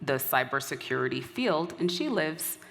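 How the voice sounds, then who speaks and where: A woman's voice: sits low, very animated, moderate pace, gravelly, very noisy. A woman host reading prepared introductions at a live event. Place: large event hall. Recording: hall reverb.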